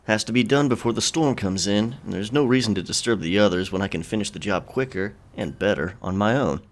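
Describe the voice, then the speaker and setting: A man's voice: on the low side, brisk, close to an everyday voice. A man playing a cocky, teasing, self-assured sailor in a pirate romance audio drama, with a nonchalant manner. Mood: confident, casual. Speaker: voice actor.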